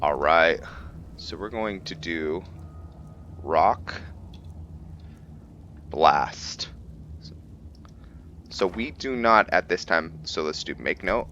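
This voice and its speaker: A man doing casual commentary as he plays a roleplaying game, musing aloud in a halting way about his character. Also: low-pitched, animated, bright, forceful tone, highs cut off, slight background noise. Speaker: gamer hosting a roleplay playthrough.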